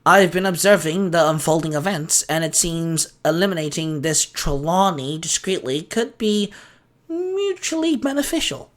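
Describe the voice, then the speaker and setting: Actor as male mercenary stranger, fairly high voice, courteous, insinuating, scheming, audio drama. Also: animated, normal speed, lightly acted. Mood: ingratiating, sly. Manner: polite, insinuating, formal. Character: mysterious male wanderer, smooth scheming mercenary for hire.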